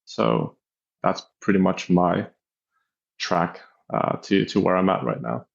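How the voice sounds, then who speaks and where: Deep, gravelly Finnish-accented man. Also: animated, slow. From Finland. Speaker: interview guest, athlete coordinator. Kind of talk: conversational career account. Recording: dull, muffled audio.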